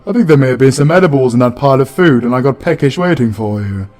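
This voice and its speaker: A British man playing a jolly, fatherly Christmas spirit with dark humour, hearty and teasing in a comedic audio play. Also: mid-range voice, lively intonation, fast, soft background music, lightly acted. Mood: amused, teasing. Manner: hearty, grandly paternal, teasing. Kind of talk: comedic audio roleplay acting.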